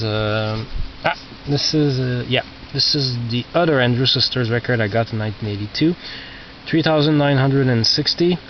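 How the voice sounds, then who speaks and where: A man who is not a native English speaker, casually talking through his collection as a record collector, halting and muttering as he reads out labels, on a cheap video camera microphone. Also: mid-range voice. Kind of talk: casual narrated show-and-tell of collection. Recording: muffled cheap video camera, loud noise.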